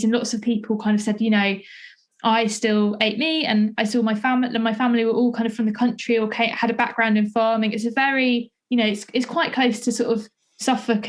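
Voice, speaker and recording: A woman talking conversationally as a podcast interviewer, feeling her way through sentences with frequent false starts. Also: fairly high pitch. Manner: hesitant, searching, hedging delivery with many false starts.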